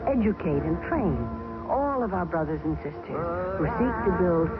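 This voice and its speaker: A woman's voice: on the low side, sing-song, moderate pace. A woman delivers an earnest, dignified charity appeal. Her voice sounds thin and tinny, like an old radio spot, with music playing beneath it. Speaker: public service announcer. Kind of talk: scripted announcement.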